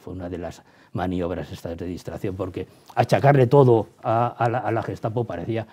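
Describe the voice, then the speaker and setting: A man from explaining history as an interviewed professor, halting as he searches for words and checks with tag questions. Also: sits low, steady tone, dark tone.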